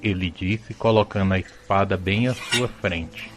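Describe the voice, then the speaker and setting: Low-voiced Brazilian male storyteller. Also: fairly even intonation, measured pace. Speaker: narrator. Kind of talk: scripted storytelling narration for audio drama. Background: music bed.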